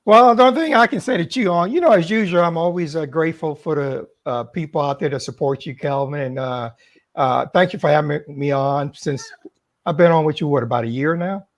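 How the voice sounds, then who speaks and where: A man who is a regular panelist on a casual live stream, giving earnest advice with lots of hesitant pauses and filler words. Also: a little high. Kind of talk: casual chat.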